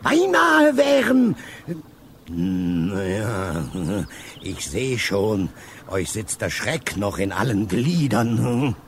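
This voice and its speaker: An actor playing an old, grumbling guardian in a children's radio play, gruff in manner as he scolds some spirits. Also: lowish voice, wildly swooping pitch, extremely slow, a little hiss or hum, heavily acted.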